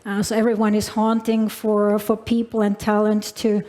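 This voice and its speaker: A woman's voice: medium pitch, monotone, normal speed, mellow. A woman with a non-native English accent giving a conference keynote in a large hall, with many fillers and restarts as she addresses the audience. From Alpine region. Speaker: keynote speaker. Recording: long hall reverb.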